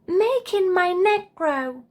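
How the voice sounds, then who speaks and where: A woman's voice: very high-pitched, lively intonation, slow pace, a little mellow, pitched above the performer's own voice, exaggerated voice. A woman voicing an eager, curious young boy giraffe in a children's storybook, with hopeful exclamations. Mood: earnest.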